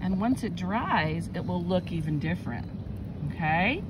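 Female early-years teacher, unhurried and very animated, exclaims and prompts children with questions; windy, noisy outdoor recording. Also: lowish voice. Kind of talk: hands-on demonstration for children and parents. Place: outdoors in wind and sun.

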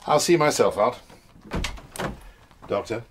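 An actor playing a dry, stately elder brother in a radio drama. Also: male voice, mid-range voice, expressive, slow pace. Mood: dry, resigned. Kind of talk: BBC radio drama acting.

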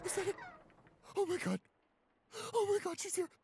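Man acting a distraught, high-pitched anxious boyfriend. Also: big pitch swings, moderate pace, creaky, noisy background, full character voice. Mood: frightened panic. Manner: stammering, frantically repeating himself. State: distraught, tearful. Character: shy, anxious, self-blaming young boyfriend in panic. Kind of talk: amateur boyfriend roleplay audio drama.

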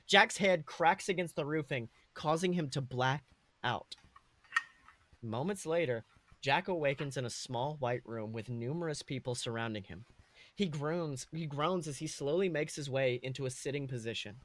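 A man's voice: medium pitch, moderate pace, a bit bright, no echo. A man co-hosting a podcast, reading and discussing his own comic fan fiction. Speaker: podcast co-host.

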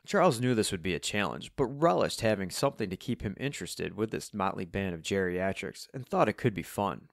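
Male narrator for a novel, lowish voice, scripted storytelling, very dry close recording. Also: animated, a little fast, creaky, few pauses, no room sound. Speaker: audiobook narrator.

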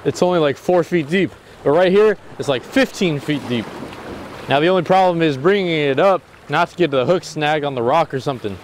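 A young man with a fairly high voice talks through his fishing trip on a rocky sea jetty. He explains his gear in a relaxed way and bursts into loud exclamations when a fish hits. The action camera picks up clear wind and water noise around him. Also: a little fast. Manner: excitable, exclaims loudly when fish bite. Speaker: fishing vlogger. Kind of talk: casual solo vlog narration. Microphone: action camera, near and far.

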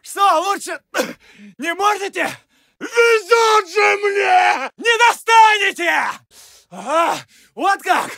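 A battle-hardened Russian infantryman in a First World War game. He barks short warnings in a full shout, very high and gravelly. The sound is close and dry, with a hard urgent edge. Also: no room sound, heavily acted. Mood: defiant relief. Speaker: video game voice actor.

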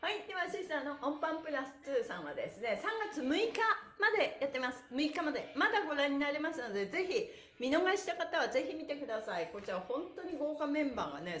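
A middle-aged woman leads a livestreamed exercise lesson in a high, very animated voice. She is cheerful and exclamatory, chatting as she instructs. The sound is roomy, with audible echo from the indoor space. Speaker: stretch class host, pantomime performer. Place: small bare-walled room indoors.

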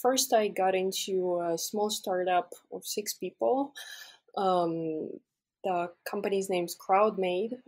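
A young woman from Russia speaks as a podcast guest. Her voice is husky and sits fairly low. She explains her work step by step in a friendly, casual way, stopping often for an "uh" or an "um". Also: poor audio. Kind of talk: casual interview answers.

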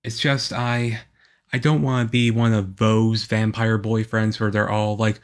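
A man playing a shy, moody, lonely young half-vampire boyfriend in an ASMR roleplay, with a hesitant, halting, earnest delivery. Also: on the low side, flat delivery. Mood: wistful, earnest.

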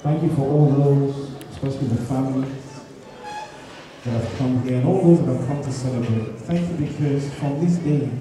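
A man leads a public prayer in a medium-pitched voice. He sounds very echoey and far from the microphone in a big hall. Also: moderate pace, over music. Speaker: pastor leading prayer. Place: large event hall. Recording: very echoey, distant, crowd noise.

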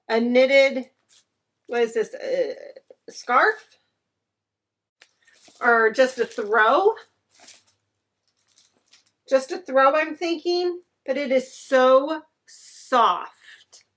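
A woman's voice: high-pitched, fairly bright tone. A woman in her thirties chatting enthusiastically to the camera as she unboxes home decor in her living room, heard through a camera across the room. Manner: chatty and enthusiastic, gushing over items. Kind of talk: casual unboxing chat to camera.

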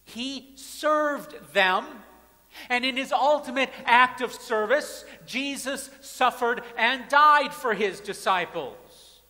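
High-voiced pastor preaching in a reverberant church. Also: male voice, expressive, slow pace. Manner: emphatic preaching, repeating phrases, swings between loud and soft. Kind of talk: sermon. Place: large church sanctuary. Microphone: pulpit mic, far camera.

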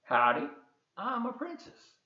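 Storybook mountain princess, done by a man: young, folksy, self-assured, leaping up high, roomy sound. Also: huge pitch swings, measured pace, full character voice. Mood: cheerful, proclaiming. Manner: folksy, proclaiming. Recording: dull, roomy home webcam recording.

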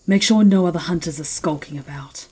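A woman playing a fierce, mocking werewolf chieftain in an ASMR roleplay, speaking in a slow, taunting, imperious way. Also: lowish voice, darkish tone, husky. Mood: commanding.

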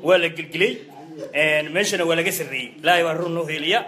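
Bright, fast-talking joking man. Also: on the high side. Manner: frequent short "ah" backchannels, animated teasing. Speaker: co-host. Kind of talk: joking political banter in Somali.

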